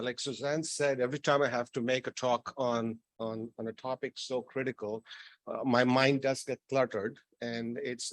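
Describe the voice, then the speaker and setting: A man's voice: mid-range voice, fairly bright tone, no echo. A man from Tanzania giving a conversational conference talk, with light jokes and frequent restarts. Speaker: conference speaker. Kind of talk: conference presentation. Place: conference hall.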